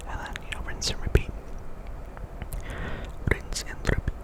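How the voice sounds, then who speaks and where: Guy whispering through game commentary asmr style, all breath no voice, repeats little phrases. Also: normal speed. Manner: repeats words and phrases softly. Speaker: gaming video host.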